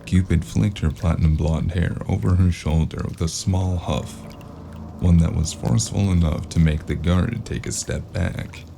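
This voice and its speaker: Man playing a low gravelly noir investigator. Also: steady tone, moderate pace, noisy background. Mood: brooding, wry. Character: world-weary male noir private investigator narrating, wry and sardonic. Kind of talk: noir audio drama narration and dialogue.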